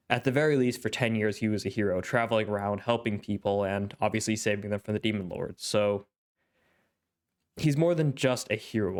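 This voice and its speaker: Young male anime host, stuffy-nosed, smooth low-ish voice, rambling casual commentary. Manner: thoughtful, rambling, with frequent fillers. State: congested, clearing his throat. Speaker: anime reaction channel host.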